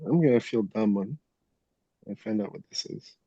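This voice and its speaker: A man's voice: lowish voice, normal speed, dark tone, slightly rough, no room sound. A man as a quiz contestant, casually thinking aloud, on a dull lo-fi recording. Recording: dull, lo-fi dry sound.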